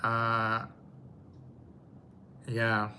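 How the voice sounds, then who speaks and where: An older man co-hosting a casual live stream, easygoing and quick to chuckle. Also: fairly low pitch, completely flat delivery, sharp tone, a little hiss or hum. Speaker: co-host of a travel channel.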